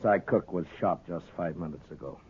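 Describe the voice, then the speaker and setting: An actor playing a stern, firm frontier marshal with terse commands in a 1950s radio western, on an old recording. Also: male voice, sits low, normal speed, phone-quality sound, a little hiss or hum, lightly acted. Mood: grim. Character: stern, weary frontier marshal keeping the peace.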